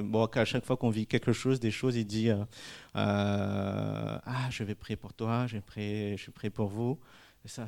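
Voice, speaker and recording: Man giving halting testimony, smooth voice. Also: on the low side, darkish tone. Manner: hesitant, many fillers and restarts. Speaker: church member giving testimony and prayer. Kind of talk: personal testimony and spoken prayer.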